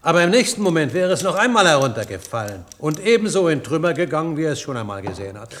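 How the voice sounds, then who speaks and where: A man acting in a radio play as an impatient scientist who lectures in a brisk, dryly ironic, didactic way. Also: on the high side, big pitch swings. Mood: lecturing, ironic. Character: impatient, ironic male scientist, a lecturing professor explaining theories. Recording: noisy old radio play recording.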